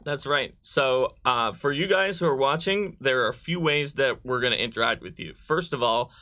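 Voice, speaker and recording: A man's voice: medium pitch, lively intonation, moderate pace, bright, forceful tone. A man co-hosting a live stream, casually explaining game rules to viewers.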